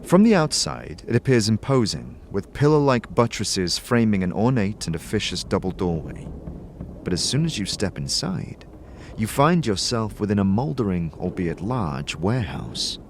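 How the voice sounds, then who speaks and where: A man narrating a scripted fantasy tale in a measured, theatrical storytelling manner. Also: on the low side, big pitch swings, slight background noise. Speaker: narrator and game player.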